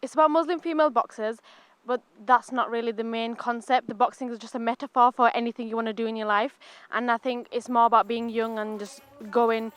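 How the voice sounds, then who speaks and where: An eighteen-year-old woman with a smooth, clear voice pitched a little high. She explains things eagerly and fluently in a casual interview. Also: fairly even intonation, brisk, few pauses, a bit bright, dry recording. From Bradford, England. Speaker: interviewee, stage performer.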